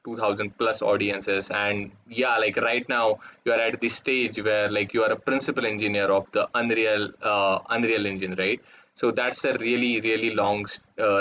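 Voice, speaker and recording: A man hosting an online community event, speaking haltingly with many fillers. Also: lowish voice, fairly bright tone. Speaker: host. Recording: muffled call audio with faint noise.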